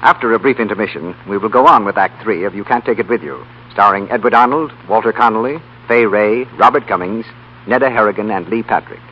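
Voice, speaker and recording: Deep-voiced American radio announcer man. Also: a little fast. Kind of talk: scripted 1930s radio announcing and advertising. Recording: muffled 1930s radio broadcast, faint hum.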